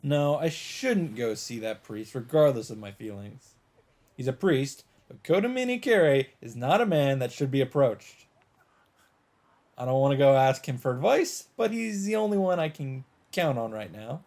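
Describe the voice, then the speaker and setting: Man reading game narration aloud, mid-pitched. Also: big pitch swings, normal speed, a little mellow. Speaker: let's play narrator.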